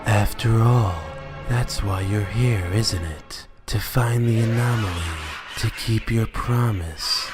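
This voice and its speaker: A man voicing a spiteful, sneering talking flower villain in a comic dub, with mocking, drawn-out taunts. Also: fairly low pitch. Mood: scornful. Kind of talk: fan comic dub acting. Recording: loud music under the voice. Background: loud music.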